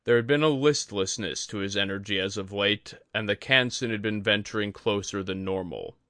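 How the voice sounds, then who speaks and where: Low voiced guy narrating a fantasy audiobook, bright crisp edge w some fry, dramatic n measured. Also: no echo, clean studio sound. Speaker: audiobook reader. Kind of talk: scripted fantasy story narration.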